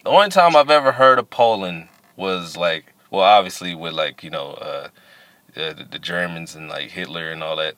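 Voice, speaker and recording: A man's voice: sits low, moderate pace, a bit bright, no room sound. A man hosting a casual podcast chat, playful and slangy, who often repeats his phrases. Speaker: podcast host.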